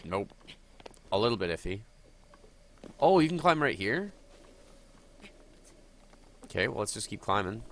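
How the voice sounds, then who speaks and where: A man's voice: fairly low pitch, very animated, a little slow, long pauses, a little hiss or hum. A man with a cold, sniffling a little, chatting and thinking aloud as he streams a game live. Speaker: gaming streamer.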